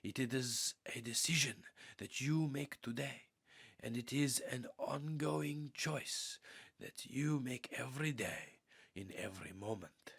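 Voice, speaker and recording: A man reading a spiritual audiobook in an earnest, measured, meditative way, with deliberate pauses and a husky, gravelly voice. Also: mid-range voice, a bit bright. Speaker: audiobook reader.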